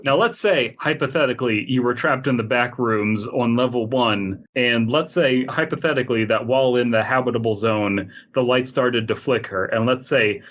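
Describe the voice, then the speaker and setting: A man with a middle-pitched voice co-hosts a casual comedy podcast. He rambles through jokes, swears freely and slips between reading horror fiction and riffing on it. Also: normal speed, hardly any pauses, fairly bright tone, lo-fi sound. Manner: rambling, joking, profane. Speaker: podcast co-host.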